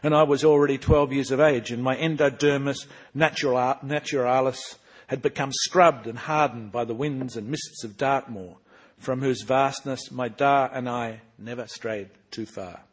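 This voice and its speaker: Man reading as a sharp cheeky boy who loves books, husky, punchy animated telling. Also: medium pitch, normal speed, pitched above the performer's own voice, lightly acted. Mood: spirited. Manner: animated storytelling with emphatic rises. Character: sharp-tongued boy narrator, bookish and cheeky. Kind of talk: reading aloud from his own book.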